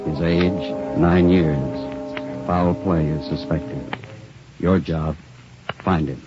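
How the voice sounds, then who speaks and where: A man's voice: low-pitched, steady tone, slow pace, loud music behind the voice. A man delivering a dramatic old-time radio introduction in grave, terse, portentous phrases. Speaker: radio announcer. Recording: dull vintage radio broadcast recording.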